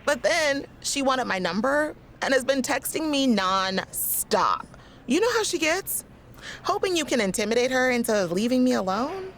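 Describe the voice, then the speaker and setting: A woman's voice: high voice, sing-song, moderate pace, fairly bright tone, a little hiss or hum, heavily acted. An actress playing a peppy, gossipy, exasperated female wrestling fan in a video game, confiding in a dramatic way. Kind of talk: video game cutscene acting.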